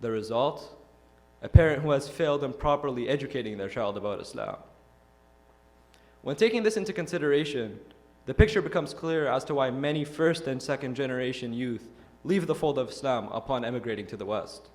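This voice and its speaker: A young man from Iraq giving an earnest, measured prepared talk to a youth audience, posing rhetorical questions. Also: mid-range voice. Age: young adult. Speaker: youth speaker. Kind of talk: prepared speech.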